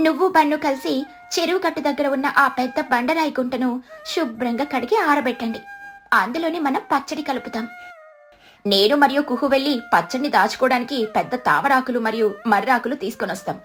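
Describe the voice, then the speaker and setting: Woman voicing a high-pitched, encouraging cartoon bird. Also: a little fast, soft background music. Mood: organizing. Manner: encouraging, expressive. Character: kindly, encouraging female village bird who organizes everyone. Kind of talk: Telugu children's cartoon dialogue.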